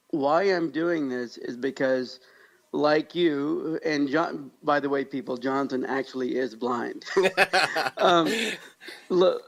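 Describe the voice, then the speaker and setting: An American man with a slightly high voice and a creaky, gravelly edge chats as a podcast co-host. He tells stories in an upbeat, jokey, conversational way. The audio is a little dull and muffled. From Pakistan-born, grew up in Kansas, United States. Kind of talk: casual conversational podcast chat.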